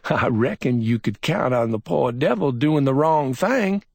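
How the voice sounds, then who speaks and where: A man voicing a slow, baffled male officer from the Carolinas, hesitant and drawling. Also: mid-range voice, animated, creaky, darkish tone, no echo, voice pushed up. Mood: glum.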